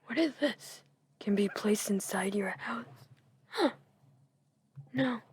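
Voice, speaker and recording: A woman's voice: mid-range voice, takes it slowly, darkish tone, slight background noise. A woman whispering casually while she plays a video game, rambling and musing as she goes. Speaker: video creator. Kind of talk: whispered gameplay chat.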